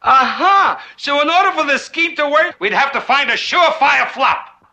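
High, bombastic scheming producer. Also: male voice, lively intonation, moderate pace, bright, forceful tone, breathy edge, full character voice. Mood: excited. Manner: theatrical, swings from coaxing to bellowing. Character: bombastic scheming middle-aged male Broadway producer, wheedling and grandiose. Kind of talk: broad comic film acting.

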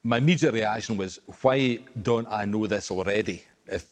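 A man's voice: fairly low pitch, normal speed. A man with an Ayrshire Scots accent, a writer and broadcaster, speaking passionately and emphatically as an interview guest. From Scotland. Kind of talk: interview, explaining history.

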